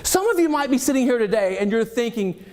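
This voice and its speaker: A man with a Southern US accent delivers a motivational keynote with lively energy. His voice sits notably high for a man, bright and bouncing as he jokes with the crowd. He speaks from a conference stage. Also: fast talker. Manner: energetic, animated, joking with the audience.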